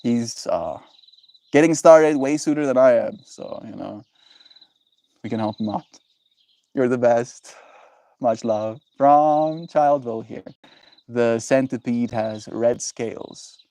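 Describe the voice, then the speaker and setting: A man's voice: medium pitch, takes it slowly, vocal fry, long pauses, dark tone. A young man chatting casually on his livestream, laid-back and encouraging, answering viewers and calling them bro.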